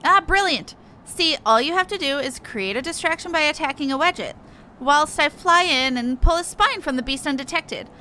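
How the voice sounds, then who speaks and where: A woman's voice: high voice, animated, normal speed, sharp tone, slight background noise. A woman voicing a boastful, gallant little male moogle adventurer from a video game, with a pompous manner. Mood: excited, proud. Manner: pompous, florid heroic speech. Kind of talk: reading game dialogue in character.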